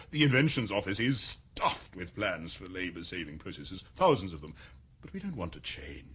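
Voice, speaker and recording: Wry, husky British controller. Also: male voice, low voice, a bit bright, heavily acted. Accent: British English. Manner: measured, wry, condescending. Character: urbane, powerful male world controller, amused. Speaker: world controller. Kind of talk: 1990s radio drama.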